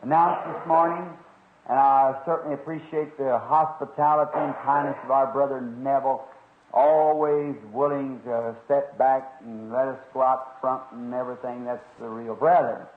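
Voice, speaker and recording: A hoarse man preaching a sermon in a folksy, earnest way. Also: medium pitch, fairly even intonation, measured pace. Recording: lo-fi noisy old tape, roomy.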